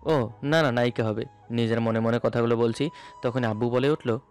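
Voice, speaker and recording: A man's voice: medium pitch, steady tone, moderate pace, a little mellow. A man narrating a romantic story in the first person, in a dramatic storytelling style. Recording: music bed under the voice. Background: background music.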